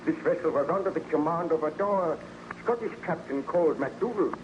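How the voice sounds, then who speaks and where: A man's voice: fairly high pitch, a little fast, muffled recording, lightly acted. A man playing a haunted, fearful baron in an old-time radio drama, earnest and melodramatic. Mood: weary, troubled. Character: haunted, fearful baron obsessed with destroying his creation. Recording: noisy old radio recording.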